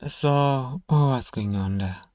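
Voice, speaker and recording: A gruff apothecary from a fantasy ASMR roleplay, acted by a man, speaks at a medium pitch with a dark, mellow tone. He is sardonic and grudgingly kind, full of sighs and dry teasing aimed at a single listener. The sound is intimate and close, with hardly any room on it. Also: takes it slowly, dry recording, natural voice. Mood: sarcastic. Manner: dry teasing, sighing, murmuring to one listener.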